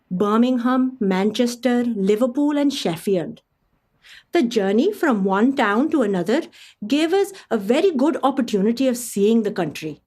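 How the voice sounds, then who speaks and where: An actress playing a refined, amused Indian woman traveller of the early twentieth century, telling her memoir in a formal, expressive storytelling manner for a radio play. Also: on the high side. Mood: reflective. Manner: expressive, formal, literary phrasing.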